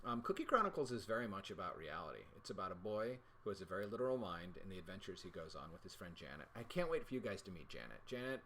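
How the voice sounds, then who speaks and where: A man's voice: deep voice, very animated, fast talker, hardly any pauses, fairly bright tone, slight background noise. A middle-aged man co-hosting a casual livestream chat, talking in a playful, mock-serious and wordy, wry way. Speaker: children's author and co-host of a readalong show.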